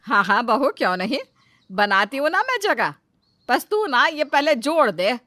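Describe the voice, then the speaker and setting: Woman playing a sharp, bossy mother-in-law. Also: sits high, sing-song, measured pace, dry recording, heavily acted. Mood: sweetly pleased. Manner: scolding, sarcastic, dramatic. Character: bossy, sentimental, sarcastic Indian mother-in-law. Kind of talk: dramatized comic family story.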